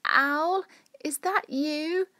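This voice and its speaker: A woman voicing a brave, curious, excitable little male squirrel in a storybook, eager, polite and childlike. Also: high voice, very slow, dry recording, clean studio sound, voice pushed up, heavily acted. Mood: surprised.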